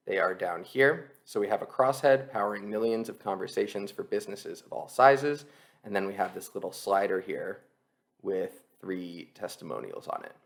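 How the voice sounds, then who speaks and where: Low-voiced man presenting a tutorial. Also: gravelly. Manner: conversational, explains step by step. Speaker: copywriting instructor, presenter. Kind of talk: explanatory screen-share tutorial, semi-scripted.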